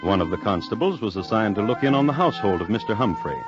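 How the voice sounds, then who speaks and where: A man's voice: on the low side, animated, fast talker. A man narrating a scripted old-time radio crime story in a droll, formal way. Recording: muffled vintage radio recording. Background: dramatic music.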